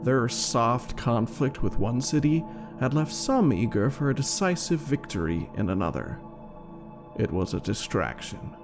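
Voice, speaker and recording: A man delivers dramatic scripted narration at an unhurried pace. His voice is gravelly with fry and swings expressively through the phrases, with soft music underneath. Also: medium pitch, expressive. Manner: measured, theatrical. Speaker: game lore reader and streamer.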